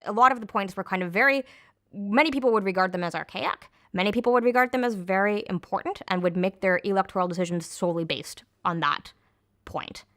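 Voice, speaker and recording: A woman's voice: mid-range voice, brisk, a bit bright. An Irish woman explaining things in a documentary interview, with fillers and self-corrections.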